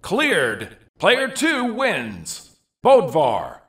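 A man playing a bombastic, hyped fighting-game announcer, delivering short, dramatic calls with theatrical, drawn-out emphasis. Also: high voice, wildly swooping pitch, a bit bright, echoey room, far from the mic, full character voice.

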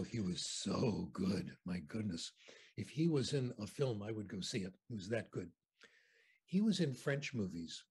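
Older american guy on a video call, super husky voice, mid pitch, rambling stories. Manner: animated, anecdotal, conversational. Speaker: interview guest. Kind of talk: storytelling lecture on a video call. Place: home room with shelves. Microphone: video call webcam.

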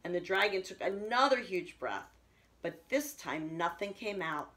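A middle-aged woman reading a children's picture book aloud with expressive storytelling delivery. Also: medium pitch, measured pace. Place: small room at home, indoors.

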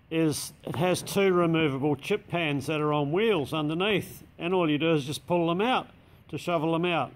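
A man's voice: fairly high pitch, slight background noise. An Australian man who runs a machine shop, giving a casual, matter-of-fact walkthrough in a large indoor workshop shed. He speaks plainly, uses local slang, and is recorded on a handheld camera mic.

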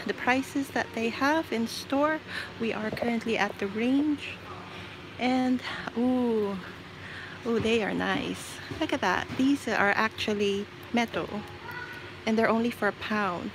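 Woman w a highish voice doing a chatty live shop-along on her phone, noisy store behind her. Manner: chatty, giving shout-outs and reading out prices. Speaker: livestream shopping host. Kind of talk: casual live shopping chat. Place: inside a large retail store. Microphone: handheld phone while walking the aisles.

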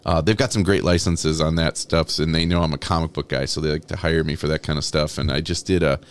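A man's voice: extremely low pitch, fairly even intonation, fast, clean tone. A man chatting casually as an interview guest, rambling and chuckling, enthusiastic about his own work. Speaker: interview guest, comic book artist.

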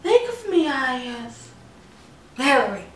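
A woman performing a stage monologue as a sassy, candid retired call girl who reminisces fondly with playful, dramatic pauses. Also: high-pitched, very animated, a bit bright, exaggerated voice. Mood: coy, confiding. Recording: echoey room, distant mic, background noise.

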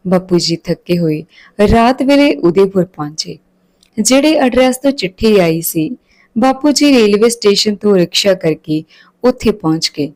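A woman's voice: mid-range voice, a little mellow, rough recording. Woman narrating a story. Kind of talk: scripted story narration with character dialogue.

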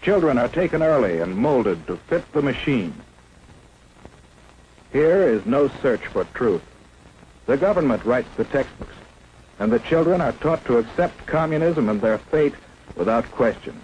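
A man's voice: medium pitch, very animated, measured pace, darkish tone, muffled recording. A man narrating a 1950s propaganda film in an emphatic, declamatory way. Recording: faint hiss of an old 1950s film soundtrack.

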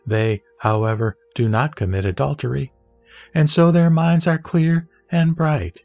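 A man reciting Buddhist scripture from a script in a solemn, measured, formal delivery. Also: fairly high pitch, fairly even intonation, gravelly, a little mellow, dry recording. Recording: dry voice over background music. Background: music.

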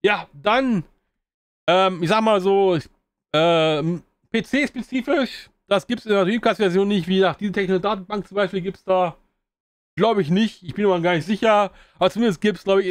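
A man's voice: sits high, normal speed, smooth, no echo. A man giving a casual spoken review of an old video game, rambling with many fillers and restarts.

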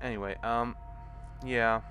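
A man's voice: medium pitch, fairly even intonation, a little slow, music in the background. A young man with a stuffy cold, casually commenting on his gameplay, swearing and mocking enemies sarcastically. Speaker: let's play commentator. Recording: home recording with clear background noise.

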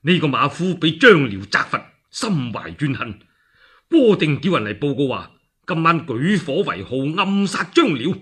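Man acting eager, vengeful warrior general, bright-voiced. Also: a little high, very animated, a little slow, husky, heavily acted. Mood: urgent, pleading. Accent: Cantonese.

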